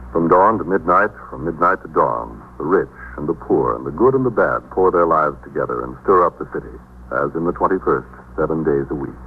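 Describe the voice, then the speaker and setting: An actor playing a solemn American narrator, delivering a grave, portentous prologue in 1950s radio drama style. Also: male voice, very deep voice. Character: solemn, deep-voiced male narrator of city life. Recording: muffled, noisy 1950s radio recording.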